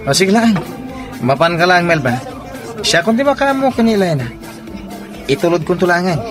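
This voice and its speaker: A man's voice: high-pitched, very animated, moderate pace, music in the background. A man acting a coaxing drinking companion in an Ilocano radio drama. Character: Jake, coaxing man plying a woman with drink.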